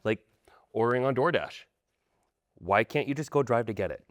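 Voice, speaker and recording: A man in his thirties, a guest on a discussion panel, talking in a rambling, animated, conversational way. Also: mid-range voice, moderate pace, no echo. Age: 30s.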